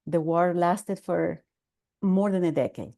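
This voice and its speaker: Older Salvadoran woman with a mellow voice. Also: on the low side, fairly even intonation, creaky. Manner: measured, earnest storytelling with fillers. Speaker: podcast guest, author. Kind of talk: conversational interview.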